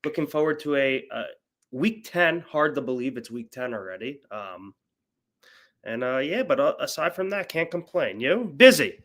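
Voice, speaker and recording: A man's voice: medium pitch, big pitch swings, normal speed, a bit bright. Chatty man, nasal from a cold. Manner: chatty, upbeat, with frequent fillers and restarts. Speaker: host. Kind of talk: casual sports betting chat.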